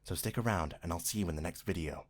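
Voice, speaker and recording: A man's voice: sits low, fast. A man who makes roleplay audio, casually addressing his viewers and thanking them in an outro. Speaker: channel creator.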